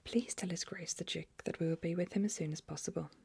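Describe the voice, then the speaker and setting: A woman's voice: on the low side, steady tone, fast talker, creaky, noisy background. A woman whispering as a polite giraffe. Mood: calm. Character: calm sensible female giraffe, polite. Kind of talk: whispered storybook reading.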